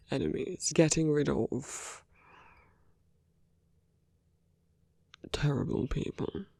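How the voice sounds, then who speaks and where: A man playing a suave, persuasive villain in an ASMR roleplay, speaking in measured rhetorical questions and slipping into near-whispers. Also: medium pitch, creaky, mellow, no echo, clean studio sound, heavily acted. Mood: pointed, challenging. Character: suave male villain, persuasive, teasing, self-justifying. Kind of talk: ASMR roleplay audio drama.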